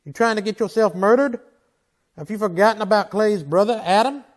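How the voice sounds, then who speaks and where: A man's voice: sits high, fairly quick, close to an everyday voice. An actor playing a stern, wry Old West marshal in a radio drama, blunt and folksy in his manner. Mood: exasperated warning. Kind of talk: old-time western radio drama acting.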